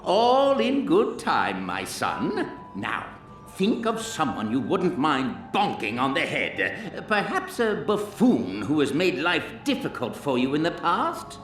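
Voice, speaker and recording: A kindly old alien creator from a video game, fond and theatrical. The voice is slightly high and swoops freely, heard from a distance in an echoey space with loud music behind. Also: male voice, wildly swooping pitch, exaggerated voice. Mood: fond, whimsical. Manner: theatrical, fond, drawn-out delivery. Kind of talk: video game cutscene acting.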